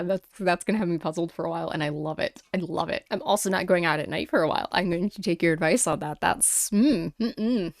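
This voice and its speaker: Animated woman podcast host, close dry sound. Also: mid-range voice, no room sound. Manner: animated, exclamatory, informal. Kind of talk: casual reading of listener stories with chatty reactions.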